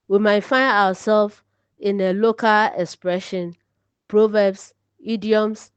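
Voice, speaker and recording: A woman with a Ghanaian accent giving an instructional lesson with deliberate, explanatory phrasing. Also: mid-range voice, clear, resonant tone, no echo. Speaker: teacher presenting an online lesson. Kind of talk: instructional lecture. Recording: slightly dull, lo-fi audio.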